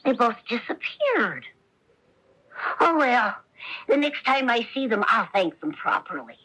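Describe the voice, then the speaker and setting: A high, bright little puppet boy for a 1970s radio play. He speaks slowly, with childlike earnestness and a gullible, trusting innocence. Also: sing-song, breathy edge, exaggerated voice, low-quality recording. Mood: cheerful, puzzled. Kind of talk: 1970s radio adventure theater acting.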